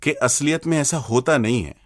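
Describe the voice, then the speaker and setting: A man co-hosting a radio show, reading a scripted conversation in a lively, conversational way. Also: mid-range voice, normal speed, dry recording, clean studio sound. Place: radio studio.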